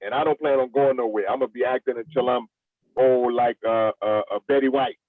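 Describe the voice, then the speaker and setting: A man in his fifties with a medium-pitched voice, talking casually and plainly as a guest actor. The recording is narrow, dull and very dry. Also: steady tone, brisk, no room sound. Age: 50s. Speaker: veteran actor, interview guest. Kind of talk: casual chat.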